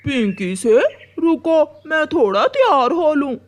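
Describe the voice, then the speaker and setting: A woman's voice: sits high, expressive, unhurried, slight background noise. Woman putting on a lazy, grumpy male crow. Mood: interested.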